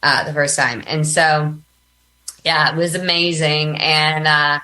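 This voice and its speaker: A woman speaking casually as an interview guest, telling things in an animated, colloquial way. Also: low-pitched, slow pace. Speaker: podcast guest, business coach and author.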